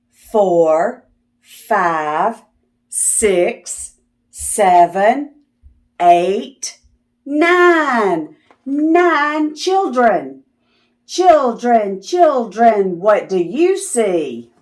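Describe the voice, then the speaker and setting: Woman reading aloud, slow and very lively. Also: mid-range voice. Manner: sing-song, repetitive phrasing addressed to young children; teacherly, asking questions and affirming answers. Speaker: host of children's read-aloud videos. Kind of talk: children's picture book read-aloud.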